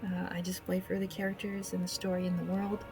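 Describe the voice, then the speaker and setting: A woman's voice: lowish voice, completely flat delivery, a little fast, over music. A woman hosting a gaming video with casual, awkward, self-deprecating commentary, speaking hesitantly. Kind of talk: casual let's play commentary.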